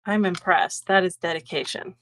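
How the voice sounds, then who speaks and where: A woman's voice: fairly low pitch, fairly even intonation, normal speed, fairly bright tone, dry recording. A woman appearing as a guest crafter who chats in a friendly way and explains her work eagerly. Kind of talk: casual live-stream chat.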